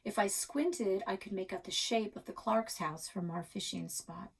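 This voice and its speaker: A woman reading a children's novel aloud in an expressive storytelling style. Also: mid-range voice, normal speed, creaky, slightly rough. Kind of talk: expressive read-aloud narration.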